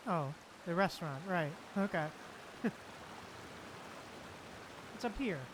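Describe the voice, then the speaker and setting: A woman's voice: lowish voice, expressive, takes it slowly, noisy background. A woman streaming a game, giving casual, laid-back reactions in short interjections. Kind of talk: casual Let's Play reactions. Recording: faint game music behind.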